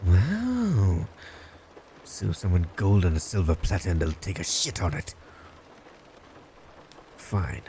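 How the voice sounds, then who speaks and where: Casting: male actor as smug obsessive stalker boyfriend for audio roleplay, dark mellow voice with unhurried delivery, blunt, creepy, faint noise behind. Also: low voice, wildly swooping pitch, exaggerated voice. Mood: sulky. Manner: blunt, condescending, teasing. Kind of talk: boyfriend roleplay audio, intimate scripted monologue.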